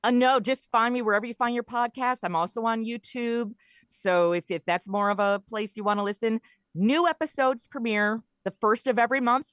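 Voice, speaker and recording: A woman's voice: a little high, brisk, fairly bright tone, dry recording, clean studio sound. A middle-aged woman, chatting casually and jokily as an interview guest. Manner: chatty, jokey, informal. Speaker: podcast guest, comedy writer and audio performer.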